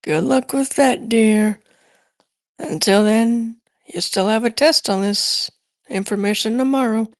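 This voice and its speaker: A woman voicing a patient, gently dismissive schoolteacher in a cartoon fan drama, explaining in a measured way. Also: on the high side, no room sound, studio quality. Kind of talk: scripted cartoon fan voice acting.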